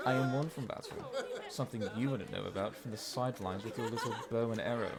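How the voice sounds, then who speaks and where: An actor playing a boastful, competitive young warrior in an audio drama, teasing and cocky. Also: male voice, medium pitch, quick pace, natural voice. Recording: lively crowd chatter behind.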